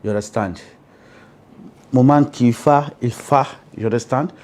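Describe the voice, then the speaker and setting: A man's voice: mid-range voice, moderate pace, a little mellow. A man with a Nigerian Yoruba accent, speaking as an interviewed priest in an emphatic, explanatory way, often checking that he is understood. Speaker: Ifa priest, interview guest.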